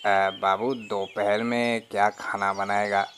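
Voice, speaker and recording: A man playing a deferential bungalow watchman with slow, drawn-out servile delivery in an audio story. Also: on the low side, level tone, background noise, close to an everyday voice. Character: deferential Hindi-speaking male bungalow watchman. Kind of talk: audio story dramatic acting.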